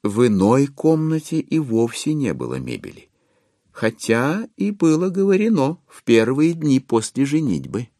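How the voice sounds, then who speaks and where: A man playing a wry author-narrator in an audio drama, phrasing deliberately and expressively with irony. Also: mid-range voice, very animated, dark tone, dry recording, studio quality.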